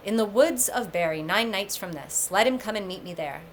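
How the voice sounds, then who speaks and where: A haughty young giantess from a storybook read by a woman, speaking in formal old-fashioned phrases at a middling pitch. Noticeable background noise runs underneath. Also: animated, moderate pace, lightly acted. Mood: resigned. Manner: haughty, formal archaic speech. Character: proud, scornful young giant maiden.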